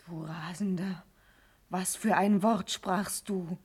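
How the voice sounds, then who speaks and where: An actress playing an ardent, pleading young noblewoman in a classical verse radio drama, fervent and declamatory, often dropping into a breathy whisper. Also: lowish voice, fairly even intonation, takes it slowly, darkish tone. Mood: shocked, agitated.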